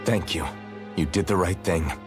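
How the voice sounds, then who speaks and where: A man's voice: deep voice, normal speed, lightly acted. An actor playing an earnest, resolute young rebel swordsman in a video game, direct and sincere. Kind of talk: English video game dub acting. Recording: music behind.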